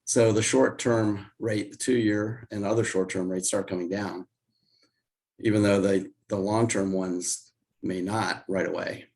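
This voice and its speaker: Man, older, hoarse, raspy, low-pitched, measured explainer, video call. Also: fairly even intonation, a little mellow. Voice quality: raspy, deeper than usual. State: hoarse, recovering from illness. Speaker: guest market analyst. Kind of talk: explaining charts in a video interview. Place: home office indoors.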